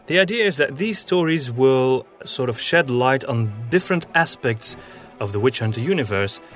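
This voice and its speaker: A man hosting a podcast, explaining news and projects to listeners in a conversational way. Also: medium pitch. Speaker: podcast host and audio drama writer.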